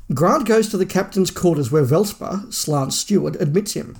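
A man's voice: a little high, a little mellow. A man co-hosting a podcast, reading a scripted plot summary aloud. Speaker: podcast host.

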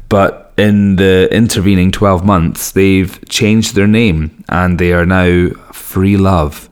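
Low raspy guy doing a podcast intro, throat a bit shot, rambly and wry. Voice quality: slightly raspy. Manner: rambling, wry, self-mocking asides. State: strained throat, losing his voice. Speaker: podcast host and record label owner. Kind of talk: casual solo podcast introduction.

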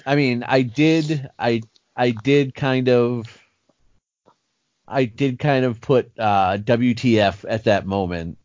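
A man co-hosting a podcast in casual chat, joking, animated, often restarting his sentences. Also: mid-range voice, slow pace, dry recording. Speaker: podcast co-host. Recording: dry, dull lo-fi sound.

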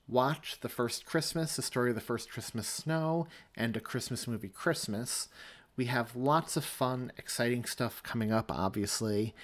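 Upbeat man hosting a podcast. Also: mid-range voice. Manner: enthusiastic, upbeat promotion. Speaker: podcast host. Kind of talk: chatty scripted podcast announcements.

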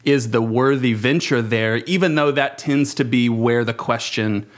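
A man in his thirties speaks in a reflective, conversational way at a middling pitch. His phrasing is halting and thoughtful, and he often corrects himself as he goes. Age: 30s. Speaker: youth ministry worker. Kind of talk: reflective panel discussion.

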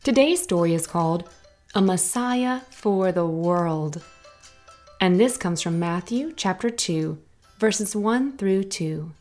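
A woman hosting a children's Bible story podcast, narrating a scripted story in a gentle, clear, unhurried way. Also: lowish voice, lively intonation, faint music behind.

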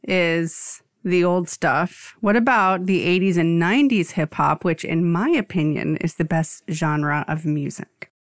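A woman co-hosts a casual podcast in a somewhat low voice. She is blunt and teasing, and the sound is very dry. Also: unhurried, few pauses, no room sound. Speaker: podcast host. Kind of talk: casual chat.

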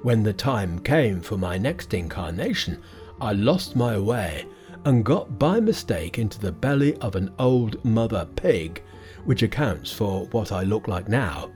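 A man voicing a grotesque pig-spirit monster that boasts gruffly and later pleads. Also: fairly low pitch, big pitch swings, a little slow, darkish tone, faint music behind. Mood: confessional. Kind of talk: audiobook character voice.

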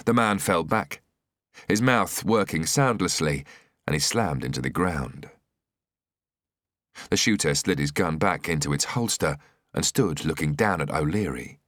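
Deep male thriller audiobook narrator. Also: a bit bright, no echo, studio quality. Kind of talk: scripted dramatic thriller narration.

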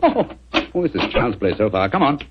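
Shrewd, urbane English detective on old radio. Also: male voice, mid-range voice, huge pitch swings, brisk. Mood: wry, careful. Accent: British. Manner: crisp, wry, urbane. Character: shrewd, unruffled English bachelor detective, dryly witty. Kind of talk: 1940s radio drama acting. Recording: dull, noisy old 1940s radio recording.

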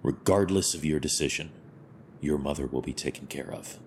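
An actor playing a stern but compassionate masked vigilante hero in an audio drama, speaking in a measured, formal, solemn way. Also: male voice, extremely low pitch, a little hiss or hum. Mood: gently reassuring.

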